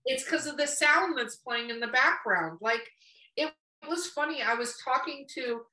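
A woman's voice: high-pitched, lively intonation, moderate pace, bright, forceful tone. A woman hosting a podcast in casual, unfiltered conversation. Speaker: podcast host. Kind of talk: casual, unfiltered interview chat. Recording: echoey room, distant from mic.

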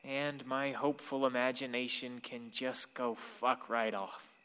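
Wistful bitter son in a radio play, forceful mid voice, long echo. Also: male voice, mid-range voice, fairly even intonation, unhurried, clean tone. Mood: fervent, bitter. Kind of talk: dramatic monologue and radio drama dialogue. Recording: long hall reverb.

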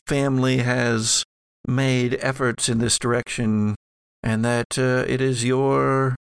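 A man's voice: mid-range voice, creaky, no room sound, clean studio sound. A man voicing an ancient female spirit made of ectoplasm in an audio drama game, musing evasively and searching for words.

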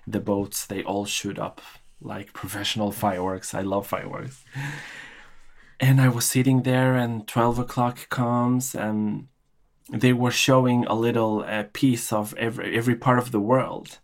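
A man's voice: medium pitch, steady tone, a little slow, gravelly. A man telling a personal story in an interview, hesitant and full of restarts. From Norway. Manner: hesitant, many restarts, emotional reminiscing.

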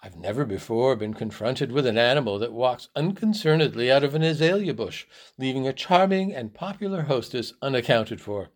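A man's voice: medium pitch, lively intonation, brisk, close to an everyday voice. A man voicing a formal, precise and unruffled lord who is pedantic, in a story reading. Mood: measured. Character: pedantic, unruffled male lord, wild beast expert.

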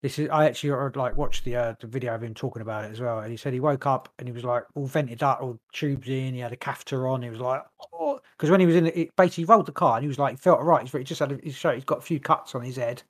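A man's voice: mid-range voice, quick pace, hardly any pauses. Rambling man retelling a story. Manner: rambling, lots of fillers and restarts, swears. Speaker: podcast co-host. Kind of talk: casual chat, retelling a story.

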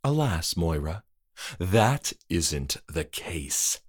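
A man voicing an eerily polite, otherworldly trick-or-treater who speaks softly and with slow formality. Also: low voice, slightly rough, pitched below the performer's own voice, heavily acted. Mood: coldly polite. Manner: calm, soft, measured and formal.

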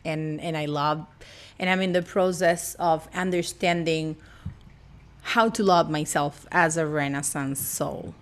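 A woman's voice: sits low, steady tone, a little slow. A young woman from Argentina with an Argentine accent, chatting playfully as a podcast guest and laughing often. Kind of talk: casual chat.

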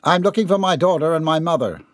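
A man voicing a pompous, indignant gentleman and father in an audiobook, barking his words. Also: a little high, animated, fast talker, no echo, studio quality, voice pushed up. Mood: demanding.

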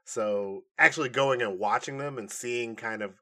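A man's voice: mid-range voice, normal speed, sharp tone. A man co-hosting a podcast, talking in a casual, informal and conversational way about entertainment. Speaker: co-host of a nerd news podcast. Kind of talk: casual chat.

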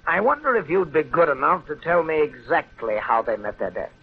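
Controlling, formal attorney, raspy higher male voice, vintage radio drama, dull lo-fi broadcast. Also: sing-song, brisk, heavily acted. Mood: solemn. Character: smooth, formal, scheming big-city lawyer. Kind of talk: 1930s radio acting.

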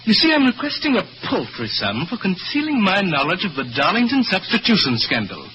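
Noisy old radio, smug blackmailer. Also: male voice, high voice, wildly swooping pitch, a little fast, bright, forceful tone, dull audio, full character voice. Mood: smug, insinuating. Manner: suave, mocking. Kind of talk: old-time radio mystery acting.

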